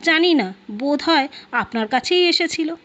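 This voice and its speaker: A woman's voice: high voice, expressive, a little slow, a bit bright. A woman storyteller voicing a startled, anxious male office errand-man in a flustered manner. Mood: explaining. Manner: flustered, astonished. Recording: dull lo-fi audio, music behind.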